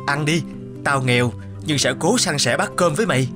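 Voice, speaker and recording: Humble young villager with lively intonation played by a man. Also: on the high side, brisk, close to an everyday voice. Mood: kind. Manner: earnest, self-effacing. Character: humble, kind, poor orphaned young villager. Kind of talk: cartoon folktale dubbing. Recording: music behind the voice.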